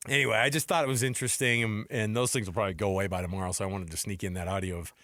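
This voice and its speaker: A man's voice: on the low side, steady tone, racing, sharp tone, dry recording. A man co-hosting a sports radio show, talking casually and thinking aloud with rhetorical questions. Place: radio studio.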